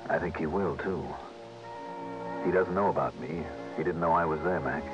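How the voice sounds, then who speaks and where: A hard-boiled American investigator in a vintage radio drama. His voice is low and steady, that of a decent tough professional. The recording is dull and band-limited, with clear music underneath. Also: moderate pace. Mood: steady, sympathetic. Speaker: lead actor and first-person narrator. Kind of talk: 1960s American radio detective drama acting.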